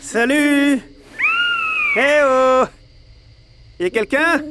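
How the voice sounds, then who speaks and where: An actor playing a hapless, good-natured, hungry big panda in a game, whiny and exclamatory, over a very noisy background. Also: male voice, extremely high pitch, extremely slow, heavily acted. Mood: hopeful, naive.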